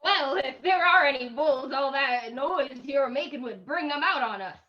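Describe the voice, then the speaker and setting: Woman acting a high-voiced, nervous folksy prospector man. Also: lively intonation, normal speed, lo-fi sound. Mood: uneasy. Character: folksy, trusting man, frightened prospector passenger. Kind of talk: old-time radio drama acting.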